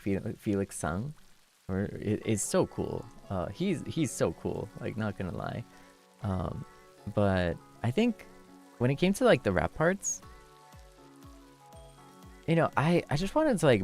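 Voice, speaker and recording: A young man streamer chatting casually with viewers, laughing often and thanking them warmly, moving between a low relaxed voice and a higher one. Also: gravelly. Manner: shifts between low chill voice and high voice.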